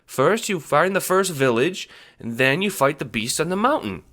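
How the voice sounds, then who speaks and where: High-voiced cat creature, anxious, helpful, hesitant stammer, audio drama character. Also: male voice, sing-song, moderate pace, a bit bright. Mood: flustered, hesitant. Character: timid, anxious, helpful male cat sprite.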